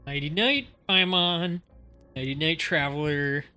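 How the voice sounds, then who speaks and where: A woman's voice: low voice, a little slow, bright, forceful tone, faint music behind. A woman reading lines from a story game aloud. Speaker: game streamer.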